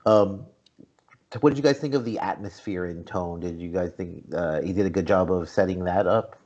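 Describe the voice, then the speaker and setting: A man's voice: low-pitched, normal speed, a little mellow, muffled recording. A man talking casually as a podcast host, discussing a film in a conversational way.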